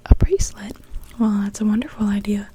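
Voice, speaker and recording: A young woman whispering gently and without hurry in an ASMR witch roleplay, speaking directly to the listener. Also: medium pitch, a little fast, a little hiss or hum. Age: young adult. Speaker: ASMR performer.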